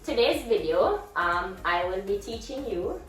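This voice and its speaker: A young Jamaican woman vlogging in casual chat, lively and laughing, with Patois exclamations. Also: mid-range voice, unhurried, soft background music. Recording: roomy echo from a distance.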